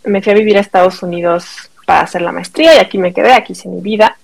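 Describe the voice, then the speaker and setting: A woman's voice: mid-range voice, fast talker, vocal fry. A middle-aged Mexican woman, a podcast guest telling a personal story in casual conversation, acting out what people said.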